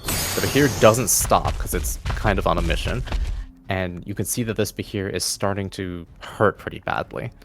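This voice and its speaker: A man with a very low, creaky voice serves as game master, narrating a tabletop game. Faint music plays underneath. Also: moderate pace.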